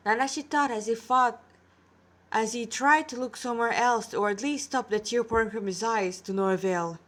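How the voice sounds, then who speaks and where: Tired woman reading a story, higher-pitched. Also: moderate pace, fairly bright tone. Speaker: amateur storyteller reading aloud. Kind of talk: narrated story reading with character dialogue. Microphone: tablet recording.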